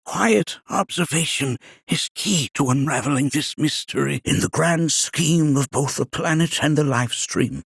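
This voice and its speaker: A wise old game sage, creaky and husky, kindly, talks all formal and ponderous. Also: male voice, a little high, measured pace, hardly any pauses, a bit bright, no room sound, clean studio sound, exaggerated voice. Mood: philosophical, grave. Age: older. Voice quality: raspy, aged. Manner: ponderous, formal, archaic storyteller phrasing. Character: wise, kindly old male scholar and planetary researcher. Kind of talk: video game character voice acting.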